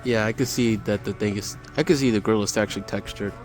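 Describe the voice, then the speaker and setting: A man's voice: lowish voice, fast talker. A man giving casual, unscripted tour commentary as a vlogger, with observational, technical asides. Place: inside retail stores, later outside. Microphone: handheld camera. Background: faint store music.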